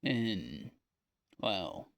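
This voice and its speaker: A man speaks into a personal audio log at a slow, halting pace, leaving long pauses between thoughts. His delivery is downbeat and folksy, rambling in a confessional way. The sound is dry, with no room around the voice. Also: lowish voice, big pitch swings, vocal fry, a bit bright, dry recording. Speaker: audio diarist.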